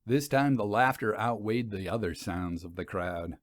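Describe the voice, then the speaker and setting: A man reading a scripted story as narrator, in a measured, literary storytelling manner. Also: lowish voice, no room sound, studio quality.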